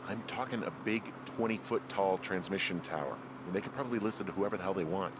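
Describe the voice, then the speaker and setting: A man acting a low-voiced, uneasy American lookout. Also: quick pace, background noise, natural voice. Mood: wary, puzzled. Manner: casual, profane, conversational. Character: wry, uneasy man working as a fire lookout. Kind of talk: naturalistic video game dialogue.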